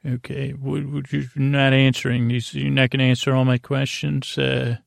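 Creaky-voiced man rambling at bedtime. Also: mid-range voice, flat delivery, no echo. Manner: meandering, halting, trails off mid-sentence; whimsical, talks to an imaginary guest. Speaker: host of a bedtime podcast. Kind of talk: rambling improvised bedtime monologue.

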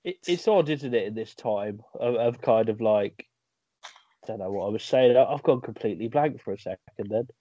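A man's voice: on the low side, moderate pace, no echo. Male co-host, bouncy jokey delivery, dry lo-fi remote audio. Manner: jokey, animated, laughs often. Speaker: podcast co-host. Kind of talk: casual banter.

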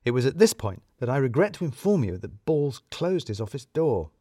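Male narrator for comic fiction: mid-pitched, very expressive intonation, wry and self-deprecating, close dry sound. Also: moderate pace, husky, no echo. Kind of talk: scripted first-person comic story narration.

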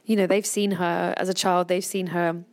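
A woman's voice: lowish voice, level tone, moderate pace. An English woman in her thirties, talking candidly in a podcast conversation and swearing freely. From England.

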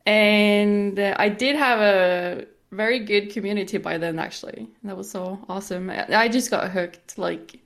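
A woman's voice: medium pitch, moderate pace, few pauses. A woman in her twenties with a Norwegian accent, chatting casually as a podcast guest. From Norway. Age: 20s. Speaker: podcast guest, game streamer. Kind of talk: casual chat.

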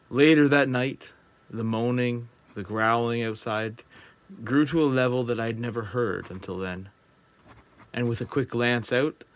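A man playing a wary, detached survivor of an apocalypse, narrating a journal in a deliberate, reflective audio drama style. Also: on the low side. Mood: uneasy, reflective. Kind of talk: scripted first-person dramatic narration.

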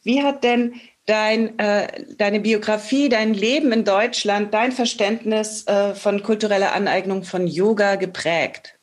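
Woman, podcast host with a middle-pitched voice, engaged and conversational in her interview questions. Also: steady tone, unhurried, hardly any pauses.

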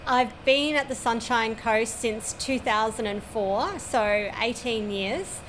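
Australian air traffic controller, fairly high voice. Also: female voice, takes it slowly, a bit bright, rough recording. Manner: matter-of-fact, detailed, with frequent "um"s. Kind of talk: interview answers. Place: at a regional airport, overlooking the runway.

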